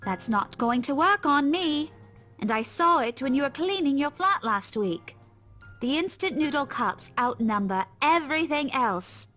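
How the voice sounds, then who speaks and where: A woman's voice: high-pitched, lively intonation, measured pace, bright, forceful tone, exaggerated voice. A woman playing a motherly, teasing schoolteacher in a visual novel game, fussing over a friend with fond scolding. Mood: chiding. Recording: music behind the voice.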